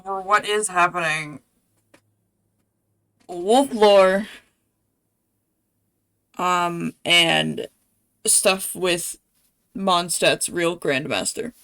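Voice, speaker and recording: A woman streaming a game, chatting casually and explaining things in an informal, halting way. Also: lowish voice, pushed and bright. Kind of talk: casual livestream chat.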